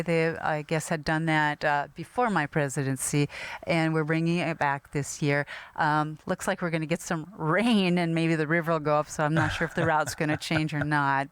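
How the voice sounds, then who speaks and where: Woman, Norwegian first language, club president, smooth clear voice, relaxed chatty delivery. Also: low-pitched, no echo. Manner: chatty, many fillers, laughs easily. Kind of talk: casual interview chat.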